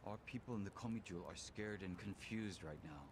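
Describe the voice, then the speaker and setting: A man's voice: low-pitched, a little fast, natural voice. An actor playing a capable, deferential right-hand man in a video game, polite, formal and reserved. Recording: noisy ambience behind the voice.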